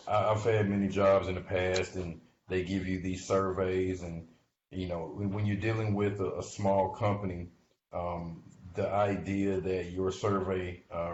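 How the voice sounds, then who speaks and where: A man giving informal explanatory investment commentary in a halting, conversational way, often checking in with the listener. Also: low-pitched, a little mellow. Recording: muffled, noisy audio in echoey room.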